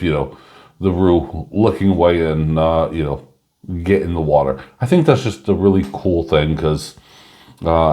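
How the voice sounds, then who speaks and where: Low, mellow-voiced man reviewing coins. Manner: rambling, chatty, many fillers. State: slightly hoarse. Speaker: coin reviewer. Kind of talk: casual coin review vlog.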